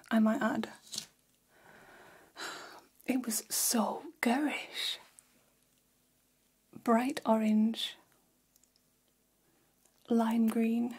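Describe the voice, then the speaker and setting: A woman acts out a catty female vampire hairdresser for an ASMR roleplay. She whispers very breathily, slow and soft, with a mellow tone. She confides salon gossip up close in an even, hushed line. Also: fairly high pitch, slightly rough, full character voice. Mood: catty, amused. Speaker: ASMR performer. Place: small decorated room, indoors. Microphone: close ASMR microphone.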